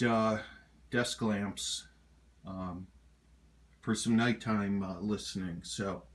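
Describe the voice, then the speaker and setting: A middle-aged man giving a casual, halting home tour of his hobby gear in a rambling, unhurried way. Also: medium pitch. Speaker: hobbyist showing his radio collection. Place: home kitchen.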